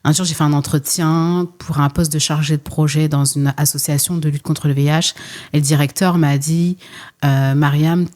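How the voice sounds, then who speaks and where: Low-voiced woman, earnest and emphatic. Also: fairly even intonation, normal speed, mellow. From France. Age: 40s. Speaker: podcast guest, entrepreneur and former social worker. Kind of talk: conversational interview.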